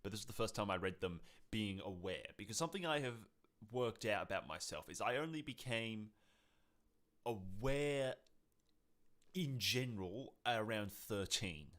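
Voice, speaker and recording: A man's voice: lowish voice, animated, normal speed, fairly bright tone. A man co-hosting a podcast in a casual, joking, bantering way. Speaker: podcast co-host. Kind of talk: casual chat on a livestream podcast.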